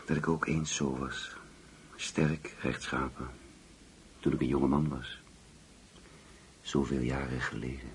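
An actor playing a weary, regretful old husband in a Dutch radio play, speaking in terse, questioning phrases. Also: male voice, rumbling low, flat delivery, takes it slowly, vocal fry, long pauses, heavily acted. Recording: faintly noisy old radio recording.

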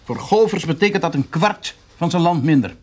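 Blustering, lively-pitched objector. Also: male voice, normal speed. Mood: protesting. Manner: interrupting, emphatic. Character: blustering objector defending a farmer's interests. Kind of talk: radio drama dialogue.

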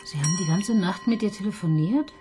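A woman's voice: medium pitch, a little fast, over music, close to an everyday voice. An actress playing a skeptical female police detective, questioning in disbelief. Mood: incredulous. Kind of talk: radio drama acting.

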